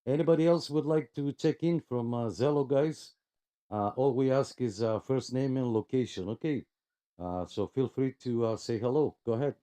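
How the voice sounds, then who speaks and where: A man's voice: medium pitch, moderate pace, dark tone, no room sound. A man casually hosting a live radio check-in, friendly and polite, with plenty of hesitations. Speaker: host of a CB radio club live check-in net.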